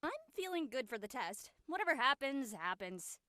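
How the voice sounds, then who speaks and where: A woman plays a feisty, carefree high school girl in an audio drama. Her voice is high and very animated, and she talks in a blunt, breezy way with a crisp bright edge. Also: moderate pace, dry recording, clean studio sound, heavily acted. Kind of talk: online dramatic table read.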